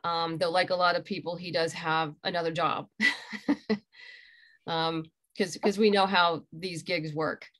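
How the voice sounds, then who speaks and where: A middle-aged woman giving casual, explanatory interview answers on a video call, haltingly thinking aloud. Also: lowish voice, fairly even intonation, fairly bright tone. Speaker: interview guest, audio drama creator. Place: small room at home.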